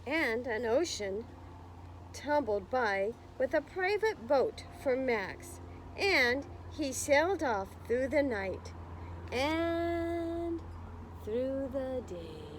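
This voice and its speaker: A woman's voice: high voice, very animated, very slow, slight background noise, low-quality recording. A woman reading a picture book aloud to young children, expressively, with encouraging, chatty explanations along the way. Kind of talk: slow children's read-aloud with chatty asides to listeners.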